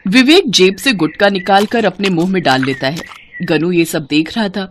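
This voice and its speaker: A woman narrating a children's moral tale in an expressive storytelling manner. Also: fairly low pitch, moderate pace, slight background noise.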